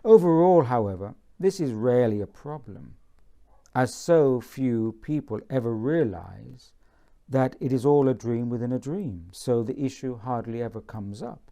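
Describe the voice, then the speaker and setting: A man reading from his own book in a measured bedtime-story style, deliberate and pausing between phrases. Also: mid-range voice, expressive, dark tone.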